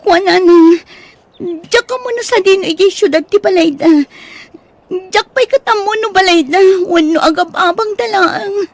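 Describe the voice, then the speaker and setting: A woman's voice: extremely high pitch, moderate pace, dry recording. A woman playing an anxious, plaintive young daughter in a radio drama. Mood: anxious, surprised. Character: anxious, plaintive young daughter who leans on her mother.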